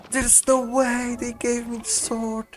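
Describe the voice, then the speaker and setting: A man's voice: extremely high pitch, little pitch movement, slow pace. A man doing casual gaming commentary, slangy and musing aloud. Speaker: gaming streamer. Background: game music.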